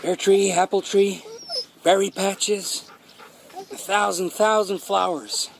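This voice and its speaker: An actor playing a sly gardener turned dog thief in a radio drama, coaxing and theatrical, repeating himself. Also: male voice, sits high, fairly even intonation, slow, creaky, slight background noise, heavily acted. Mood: coaxing, friendly.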